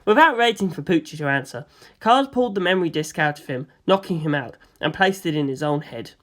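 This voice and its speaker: Audiobook narrator telling a story in first person, middle pitch, really animated delivery. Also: brisk.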